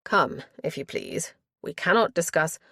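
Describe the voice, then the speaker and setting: A woman voicing a composed young aristocratic man who speaks quietly and tersely. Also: fairly low pitch, lively intonation, unhurried, no echo, clean studio sound, full character voice. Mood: restrained. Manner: quiet, clipped, restrained.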